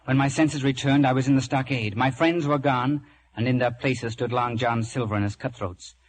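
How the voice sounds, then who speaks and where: An actor narrating a boyhood pirate adventure in the first person, with earnest, measured, dramatic storytelling. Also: male voice, mid-range voice, steady tone, slight background noise, natural voice. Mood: grave.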